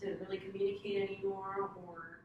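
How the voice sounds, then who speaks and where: A woman whose first language is Tagalog, answering questions about her husband in a clinical interview, hesitant and soft-spoken with many fillers. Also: mid-range voice, level tone, brisk, muffled recording. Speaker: client's wife in case history interview. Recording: echoey room, distant mic, audible noise.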